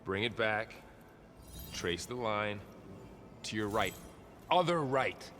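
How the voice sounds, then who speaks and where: A patient, lively-voiced hunting mentor. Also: male voice, fairly low pitch, slow, big-room sound, slight background noise. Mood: coaching. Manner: patient, coaching. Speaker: hunting trainer.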